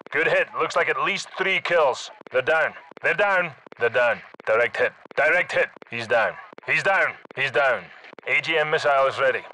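Game casting: Scottish special forces soldier, commanding and tough, forceful edge, clipped combat delivery. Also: male voice, fairly high pitch, a little hiss or hum, poor audio. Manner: clipped, terse radio-style military callouts. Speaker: video game squad leader. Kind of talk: military shooter game battle chatter.

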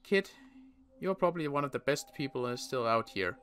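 A young man playing a weary survivor in a Minecraft roleplay, talking in halting bursts with sighs and restarts. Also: medium pitch, lively intonation, fairly bright tone, a little hiss or hum. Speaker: roleplay player and channel host.

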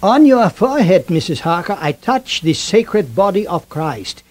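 A man's voice: sits high, sing-song, a little slow, a little mellow, exaggerated voice. An actor playing an elderly male vampire hunter professor, formal and urgent, in a 1970s radio drama. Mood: grave.

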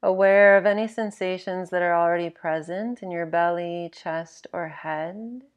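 A woman narrating a guided sleep meditation in a gentle, measured, instructional manner. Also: fairly low pitch, fairly even intonation, dull audio.

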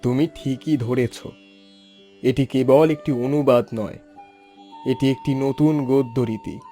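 A man's voice: medium pitch, fairly even intonation, slow pace, warm tone, soft background music, lightly acted. A man playing a formal, approving scholarly colleague and college official in scripted cartoon dialogue. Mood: admiring. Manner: formal, admiring.

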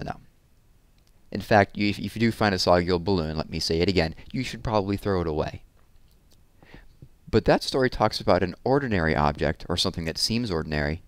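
Low-voiced man reading to children. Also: dry recording. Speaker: read-aloud storyteller. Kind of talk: children's picture book read-aloud with casual asides. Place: small room at home, indoors. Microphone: headset.

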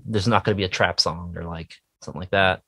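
Low-voiced man chatting, hesitant and self-deprecating. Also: steady tone, fast talker, pushed and bright, husky, no echo. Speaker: musician guest, indie rock songwriter. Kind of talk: casual interview chat.

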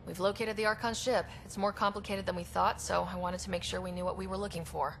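An actress playing an earnest, determined young expedition leader in a video game, supportive and decisive. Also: female voice, lowish voice, fairly even intonation, fast talker, gravelly, a little hiss or hum. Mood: resolute. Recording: long reverb, faint music behind.

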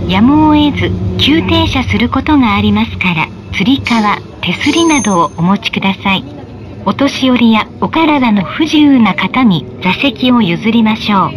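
A woman with a fairly high voice reads formal stop announcements. She is polite and measured, enunciating each phrase carefully. The recording is made inside a vehicle, with clear tram rumble behind her. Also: animated. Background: tram running noise.

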